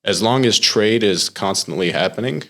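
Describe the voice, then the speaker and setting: A young American man, a chef, talking casually in a podcast interview and explaining things in a relaxed way, with lots of filler words. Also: fairly low pitch, moderate pace. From United States. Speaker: chef and pitmaster, podcast guest.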